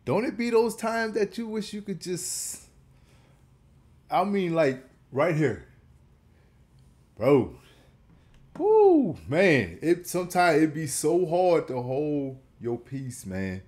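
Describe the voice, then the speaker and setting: A man's voice: fairly high pitch, very animated, slow pace, pauses often, darkish tone. A man in his thirties hosting a reaction video at home, chatting in a casual, slangy way and chuckling often. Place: small room at home, indoors. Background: faint song playing.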